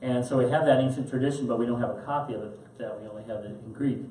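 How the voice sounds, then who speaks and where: A man teaching a class and answering questions in a thoughtful, halting way. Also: medium pitch, steady tone, mellow. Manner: thoughtful, halting with fillers and restarts. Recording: roomy echo, mic at a distance, faint hiss.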